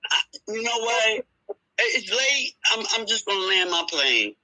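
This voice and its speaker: Very sharp, assertive debater in their fifties. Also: medium pitch, sing-song, measured pace, no room sound, rough recording. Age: 50s. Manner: assertive, pleading to be heard. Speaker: panelist in a live audio discussion. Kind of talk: heated live debate.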